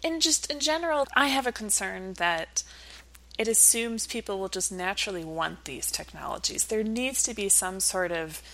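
A woman's voice: mid-range voice, animated, normal speed, bright, forceful tone, low-quality recording. A woman speaking as an academic guest in an interview, explaining things conversationally in a thoughtful, earnest way.

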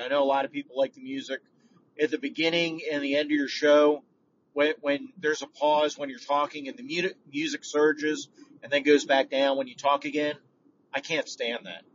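A man's voice: medium pitch, a bit bright. A man leaving a casual voicemail as a podcast listener, speaking in a frank, conversational way. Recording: dull, noisy, distant voicemail recording.

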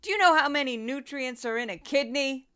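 A woman's voice: high-pitched, lively intonation, a little fast, pushed and bright. A woman putting on a parody of a smug, self-deluded female politician hosting a party, emphatic and insistent, in a satirical radio play.

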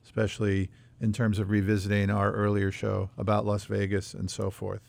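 A man hosting a conversational policy news discussion, explaining things in a measured way. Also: lowish voice, monotone, creaky, darkish tone, no room sound. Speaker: podcast host leading the discussion.

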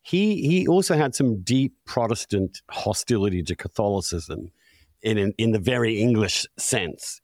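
A man's voice: lowish voice, darkish tone, no echo. A man speaking emphatically and with strong opinions in a conversational interview, as a podcast host.

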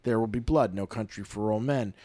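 A man's voice: fairly low pitch, a little fast, dark tone, no room sound. A man chatting casually on a film podcast, rambling with lots of "you know" and "I mean.". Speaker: podcast guest, film commentator.

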